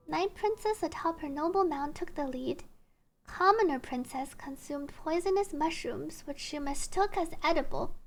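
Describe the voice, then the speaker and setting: A woman livestreamer reading game text aloud and adding chatty asides, with game music behind her. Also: extremely high pitch. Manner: reads story text slowly, then chatty asides. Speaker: streamer, VTuber.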